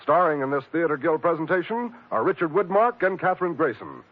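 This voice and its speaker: A man's voice: fairly high pitch, sing-song, a little fast, loud music behind the voice. A man working as a network radio announcer, reading scripted 1950s broadcast announcements. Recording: tinny old radio broadcast recording.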